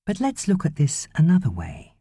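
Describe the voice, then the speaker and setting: A woman's voice: sits low, wildly swooping pitch, moderate pace, warm tone, slightly rough, dry recording, pitched above the performer's own voice, heavily acted. A woman voicing an earnest, loving husband and father in an audiobook, pleading and persuading emphatically. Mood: earnest, tender.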